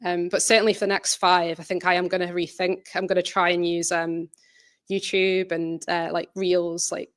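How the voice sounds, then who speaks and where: A woman with a lowish voice answers questions about her creative work in a conversational way. Her delivery stays very level and a little hesitant, qualifying thoughts as she goes. The sound is dry and close, with little room. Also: flat delivery, moderate pace, no room sound. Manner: hesitant, self-reflective, trailing qualifications. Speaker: audio drama writer and presenter. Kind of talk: informal presentation Q&A, conversational answers.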